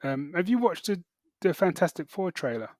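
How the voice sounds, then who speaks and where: A middle-aged British man chatting casually as a podcast co-host, halting and correcting himself as he goes. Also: high-pitched, very animated, a little mellow, no room sound, clean studio sound. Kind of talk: casual chat, geek reviews.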